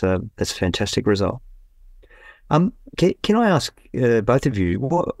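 A man's voice: sits low, a little mellow, no room sound, studio quality. A man hosting a podcast interview, introducing guests and asking questions with frequent um and uh. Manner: many fillers and restarts while introducing guests. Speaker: podcast host.

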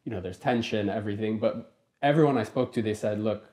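A man's voice: on the low side, fast, a little mellow. A man from Kenya hosting a podcast, asking long rambling questions full of fillers, recorded on a lapel mic in a small wood-panelled room. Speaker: podcast host. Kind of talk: casual interview.

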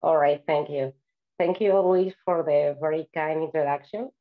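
A woman from Spain with a Spanish accent speaks in a notably low voice, presenting over a video call. She talks in a friendly, chatty way, with frequent "uh" pauses. The audio is muffled and lo-fi. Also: fairly even intonation. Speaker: invited speaker. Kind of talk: online seminar presentation.

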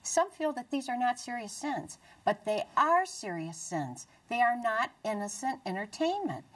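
A middle-aged American woman presenting a religious talk show in an earnest, deliberate way. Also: fairly high pitch, husky, distant mic. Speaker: TV show host. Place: small dark TV studio, indoors.

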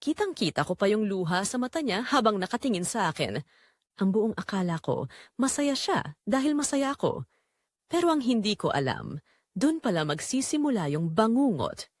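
A woman playing a betrayed young wife in an audio drama, narrating her heartbreak in earnest, measured, confessional phrases. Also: medium pitch, big pitch swings, no room sound, clean studio sound. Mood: wounded, reflective. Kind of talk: scripted first-person dramatic narration.

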